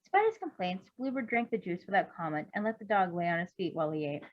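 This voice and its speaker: A woman reading a story aloud in an expressive, amateur storytelling style. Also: on the low side, fast talker. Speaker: fan fiction reader. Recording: dull lo-fi recording.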